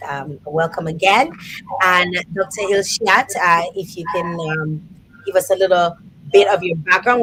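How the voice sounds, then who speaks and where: A woman hosting a live online talk show, speaking in an expressive, thankful way over a video call. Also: fairly low pitch, a little hiss or hum, poor audio. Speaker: host.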